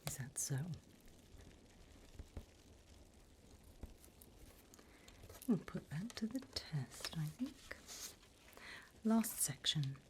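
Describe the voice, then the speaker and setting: A woman voicing a sly, sinister demon interviewer in an ASMR roleplay, in a soft breathy whisper with a teasing, menacingly polite manner. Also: lowish voice, big pitch swings, slow pace, low-quality recording.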